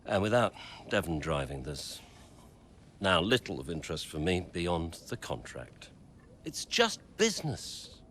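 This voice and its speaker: A man's voice: low-pitched, huge pitch swings, unhurried, a bit bright, slight background noise, heavily acted. An actor playing a cold, controlling wealthy businessman and father, curt and dismissive, in a video game. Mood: cold, firm.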